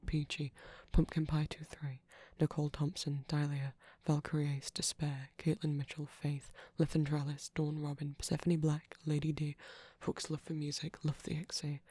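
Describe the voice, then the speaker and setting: A soft-spoken ASMR host reading out a long list of names, often slipping into a breathy whisper. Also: medium pitch, monotone, moderate pace, no room sound. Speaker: host reading supporter credits.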